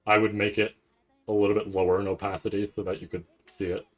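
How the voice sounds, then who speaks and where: Male streamer, lowish voice, casual rambling delivery, thinks out loud in fragments and keeps checking in with the listener. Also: level tone, quick pace. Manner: thinks aloud in fragments, frequently checking with "right?". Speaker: streamer hosting a reaction stream. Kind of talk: casual, rambling livestream chat.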